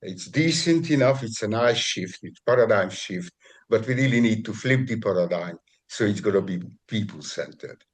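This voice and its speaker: A man on a video call explaining his work in a halting way, often restarting and repeating words. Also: on the low side, lively intonation. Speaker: guest describing his work. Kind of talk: casual video call conversation.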